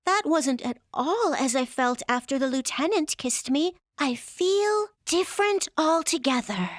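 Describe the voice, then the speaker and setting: A woman's voice: high voice, expressive, measured pace, no room sound, studio quality. A woman playing a naive, curious young scientist's daughter with formal, literal-minded phrasing, in a radio sci-fi parody. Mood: innocent curiosity. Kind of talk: old-time radio sci-fi parody acting.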